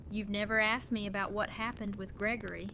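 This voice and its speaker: A woman's voice: mid-range voice, level tone, fairly quick, bright, forceful tone, low-quality recording. A woman playing a tender, resolute young widowed mother in an audio drama, speaking in an earnest, conversational way. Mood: serious, reflective. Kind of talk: fan audio drama dialogue. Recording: clear background noise and ambience.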